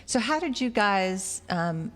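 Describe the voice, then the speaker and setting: Lively-voiced woman radio co-host. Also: mid-range voice, takes it slowly, slight background noise. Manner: lively, warm questions with laughs. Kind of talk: casual radio interview.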